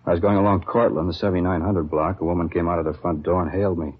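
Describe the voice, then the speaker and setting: A man's voice: low voice, fairly even intonation, rapid-fire, slight background noise, lightly acted. A man playing a plain-spoken, guarded beat patrol officer in a 1950s radio drama, talking in casual, matter-of-fact police slang. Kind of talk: 1950s radio acting, conversational dialogue. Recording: dull, lo-fi old radio recording.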